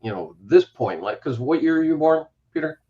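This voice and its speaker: Man in his fifties, low voice, slightly muffled sound, thoughtful casual chat. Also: sing-song, moderate pace, gravelly, dark tone. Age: 50s.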